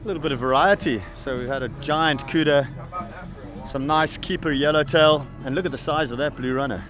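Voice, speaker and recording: A man's voice: a little high, over music. A man with an Austrian accent, hosting a fishing show and calling out the action enthusiastically from a boat offshore. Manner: enthusiastic, quick exclamations. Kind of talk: excited on-camera fishing commentary.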